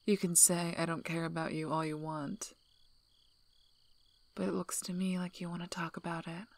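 A woman voicing a gruff, guarded but protective werewolf alpha in a breathy, soft-spoken ASMR role-play, dry and teasing. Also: fairly low pitch, level tone, measured pace, long pauses, no room sound. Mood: low, softening. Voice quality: breathy, husky, half-whispered.

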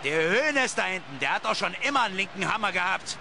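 A man's voice: sits high, big pitch swings, quick pace, gravelly. A man dubbing football footage for comedy in a brash, mocking way over stadium noise. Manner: brash, mocking, many put-on voices. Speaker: comedy dubber. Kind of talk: comic dub of football footage.

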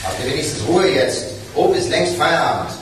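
An actor playing a brisk, sociable boss of lift mechanics in a radio crime drama. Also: male voice, a little high, very animated. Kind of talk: naturalistic 1980s German radio crime drama acting. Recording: echoey, distant, noisy.

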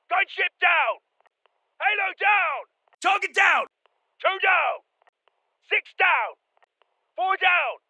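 A British soldier barks terse, clipped callouts in a military shooter game. His voice sits very high for a man and carries an urgent edge. The recording is dry and close. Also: pushed and bright, no room sound, exaggerated voice, low-quality recording. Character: British special forces soldier calling out in combat. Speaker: video game voice actor.

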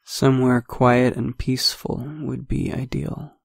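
A man leading a guided meditation, speaking slowly and softly with long pauses between phrases. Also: mid-range voice, completely flat delivery, gravelly, dry recording, clean studio sound. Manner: slow, soft-spoken, with long pauses.

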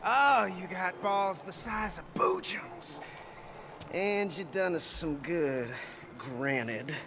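A man's voice: sits high, lively intonation, slow, noisy background, full character voice. An actor playing a wily, eccentric male wasteland mechanic in a game, with a colorful, drawling way of talking. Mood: scheming.

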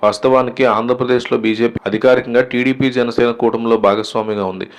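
A man's voice: mid-range voice, steady tone, fast talker, muffled recording. A man delivering scripted political news commentary in a formal presenter's manner.

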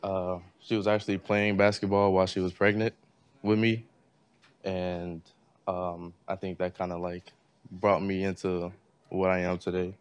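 A young man giving a class tribute speech, halting and full of fillers. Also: low voice, little pitch movement, smooth, no room sound. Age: young adult. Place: library classroom.

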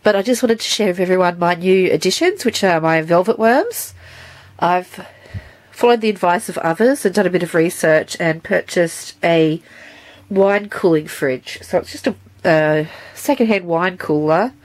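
A woman's voice: on the low side, normal speed, a little mellow, no room sound. A woman with a stuffy, nasal voice from a cold, chatting casually while she shows her pets to a handheld camera at home. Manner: chatty, explains as she handles the animals. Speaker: pet keeper showing her animals. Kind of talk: casual show-and-tell vlog. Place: indoors at home, by a terrarium.

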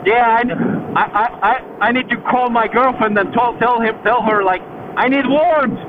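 A man's voice: very high-pitched, normal speed. A man with an Eastern European accent, chatting and joking casually with a friend as a sweary motorcycle vlogger. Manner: swearing freely, jokey. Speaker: motorcycle rider hosting a vlog. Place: outdoors riding a motorcycle. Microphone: helmet headset. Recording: tinny, phone-like helmet intercom with road noise.

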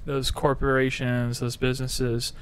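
Guy on a podcast couch, mid pitch, blunt and loose, swears a lot. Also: completely flat delivery, unhurried, slight background noise. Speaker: podcast co-host. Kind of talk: casual chat. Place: living room couch. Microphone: handheld mic close to mouth.

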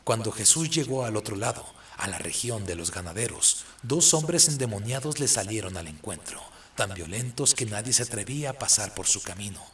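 A man's voice: lowish voice, expressive, moderate pace, hardly any pauses, fairly bright tone, a little hiss or hum. Man narrating solemn radio drama.

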